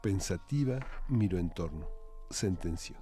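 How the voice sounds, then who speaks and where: A man's voice: deep voice, animated, a little slow, warm tone, faint music behind. A man reading a story aloud expressively, with theatrical phrasing.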